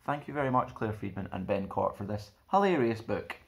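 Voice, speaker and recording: A young man presenting and reading for children in an expressive storytime style, recorded indoors at about arm's length from the camera. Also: lowish voice, fairly quick, gravelly. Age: young adult. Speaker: storytime host reading a picture book. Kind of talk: expressive children's read-aloud with friendly presenting. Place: small plain indoor room.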